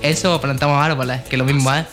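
A man's voice: mid-range voice, steady tone, quick pace. A man from co-presenting a radio show. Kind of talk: radio chat and scripted summaries. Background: music, guitar under the voice.

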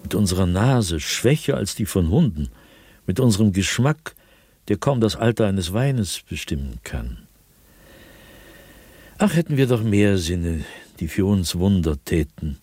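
An actor playing an anguished, haunted male writer in a radio drama, speaking his diary in a brooding, deliberate, husky manner with dramatic pauses. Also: low-pitched, very animated, a little mellow, dry recording, heavily acted. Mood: troubled, musing.

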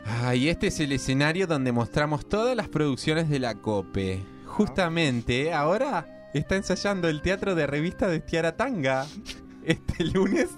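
A man's voice: fairly high pitch, big pitch swings, unhurried, soft background music. A man acting a slick, scheming male businessman who offers bribes, in an amateur radio play. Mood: smarmy. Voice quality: smarmy, wheedling.

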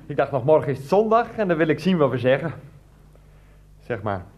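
A man's voice: fairly high pitch, sing-song, a little fast, lo-fi sound. Hesitant family man, swooping theatrical delivery. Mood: flustered, evasive. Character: hesitant, evasive, dramatic family man hosting his cleaning lady. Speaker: actor in a radio play. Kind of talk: Dutch radio drama dialogue.

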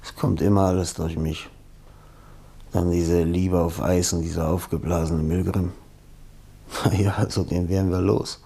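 A weary, creaky-voiced ailing writer. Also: male voice, low voice, little pitch movement, measured pace, pauses often, warm tone, full character voice. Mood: wistful, weary. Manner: weary, groaning, sighing, breaking into whispers. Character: ailing, exhausted middle-aged male novelist, irritable and wistful. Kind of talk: German radio drama acting.

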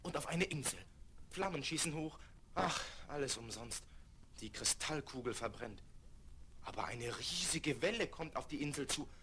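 Earnest, expressive young prince. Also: male voice, fairly high pitch. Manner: declamatory, earnest. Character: earnest, devoted young fairy-tale prince. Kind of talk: 1970s German children's audio play acting. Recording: very noisy vinyl record, effects behind.

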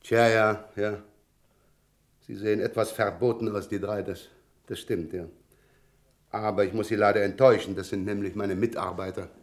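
An actor in a radio play playing a glib, cocky visitor with flowery, breezy talk, recorded in a roomy sound. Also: male voice, fairly low pitch, steady tone, normal speed, pauses often, natural voice. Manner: flowery, breezy, smooth-talking. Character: glib, cocky male visitor posing as an auditor.

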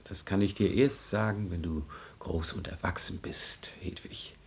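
Deep, creaky-voiced solemn idealist. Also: male voice, fairly even intonation, measured pace. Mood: grave, coaxing. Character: solemn, earnest male idealist, quietly insistent family acquaintance. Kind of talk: radio play acting.

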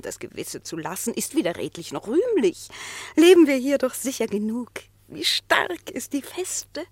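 Worried fox wife, breathy, voice rises and falls a lot, dry sound. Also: female voice, fairly high pitch, no room sound, exaggerated voice. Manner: pleading, fretful, swings between loud and whispered. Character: anxious vixen wife, fretful and pleading. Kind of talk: classic verse radio play.

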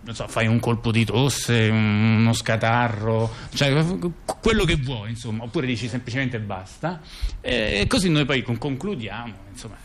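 A man playing a rambling intellectual in a conversational radio theatre piece, explaining things haltingly with repeated words and digressions. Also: medium pitch, a little hiss or hum, close to an everyday voice. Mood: hesitant, explanatory.

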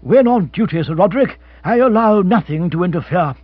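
A man's voice: high voice, lively intonation, brisk, no echo, highs cut off, voice pushed up. A man reading an audiobook, voicing a stiff, pompous older chief constable with a clipped, officious manner. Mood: haughty.

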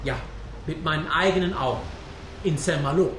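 An actor playing an earnest, credulous manservant in a radio play, speaking with polite wonder. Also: male voice, a little high, moderate pace, noisy background, lightly acted. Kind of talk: German radio play dialogue. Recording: roomy echo, music behind.

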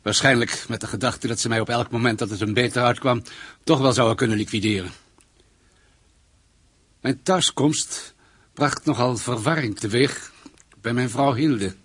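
Husky, wary former officer. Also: male voice, medium pitch, normal speed, pauses often, fairly bright tone, no echo. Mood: sombre narrating. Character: wary former SS officer recounting his secret past. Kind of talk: radio drama acting and first-person narration.

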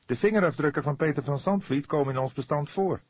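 A man's voice: a little high, quick pace, darkish tone, breathy edge, voice pushed up. A man voicing an eager, impatient younger police detective who speaks in a heated, insistent way.

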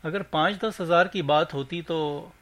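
A man voicing a businesslike male shop owner, brisk and direct, in a story reading. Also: fairly high pitch, a bit bright. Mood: firm. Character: businesslike male medical shop owner, friendly but unyielding.

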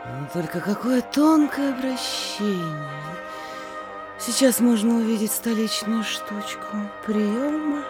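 Vain, swooping mayor's wife. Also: female voice, on the high side, expressive, takes it slowly, over music. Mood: gushing. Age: middle-aged. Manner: gushing, haughty, theatrical. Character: vain, coquettish provincial mayor's wife and mother. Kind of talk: classic stage comedy audio drama.

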